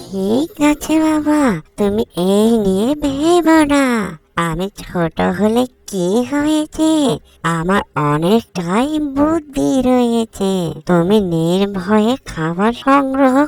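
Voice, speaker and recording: A woman's voice: fairly high pitch, animated, slow, darkish tone, no echo. A woman voicing a small, clever young bird chick in a cartoon, earnest and childlike. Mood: reassuring, confident. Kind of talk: Bengali cartoon voice acting.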